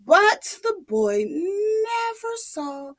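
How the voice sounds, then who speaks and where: A woman telling a story to young children in a dramatic, expressive, deliberate way. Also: very high voice.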